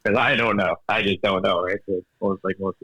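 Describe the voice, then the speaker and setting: A man with a low voice speaks as a guest in a relaxed interview, recorded dry and close. He picks his words carefully, restarts often and laughs easily. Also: quick pace, no echo, rough recording. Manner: thoughtful, hesitant, many restarts and fillers, laughs often; calls himself an engineer, evidence-minded. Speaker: guest author. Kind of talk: casual interview chat.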